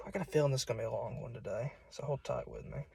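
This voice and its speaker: A man's voice: mid-range voice, quick pace, vocal fry, darkish tone, slightly rough. A young man with a Southern US accent, preaching in an informal, folksy and conversational way. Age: young adult. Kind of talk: informal sermon. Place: solo self-recording.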